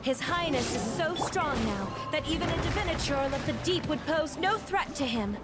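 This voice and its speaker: A woman playing a gentle, gracious young woman in an anime game, speaking in a polite, measured, softly formal way. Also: sits high, animated. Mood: kindly, composed. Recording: music behind the voice.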